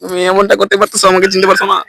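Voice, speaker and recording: A Bangladeshi man with a high, bright voice chats very quickly in a dry recording. He teases in casual prank-call banter, repeating himself and tripping over words. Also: completely flat delivery, creaky, no echo.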